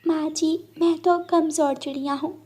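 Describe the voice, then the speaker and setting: A woman's voice: high-pitched, steady tone, moderate pace, a little mellow, voice pushed up, natural voice. A woman voicing a kind, helpful little female cartoon bird, polite and curious.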